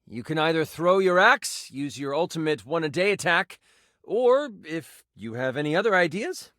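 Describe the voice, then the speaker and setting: A man's voice: fairly high pitch, sing-song, moderate pace, bright, forceful tone, no echo, clean studio sound. A man playing a game master character, narrating a fantasy tabletop adventure theatrically, with conversational asides. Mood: dramatic. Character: animated personified twenty-sided die running a fantasy game as game master.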